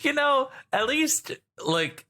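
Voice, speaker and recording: A man's voice: extremely high pitch, big pitch swings, slow, bright, forceful tone. A man chatting casually as a player on a podcast, with a wry, teasing manner. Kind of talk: casual chat.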